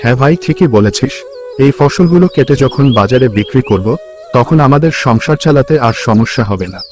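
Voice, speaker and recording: Cartoon casting: humble, hardworking village husband and farmer played by a male actor, earnest and plain-spoken, middling pitch, music underneath. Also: expressive, moderate pace, a little mellow, natural voice. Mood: hopeful. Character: poor, hardworking, grateful married village farmer. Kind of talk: cartoon folk tale dialogue. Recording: music behind the voice.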